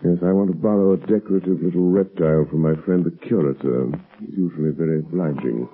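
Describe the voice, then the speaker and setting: An actor playing a suave, mysterious crime-fighter with a measured, portentous delivery in a 1930s radio drama. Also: male voice, deep voice. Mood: calm, resolute. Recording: muffled tinny 1930s radio broadcast recording.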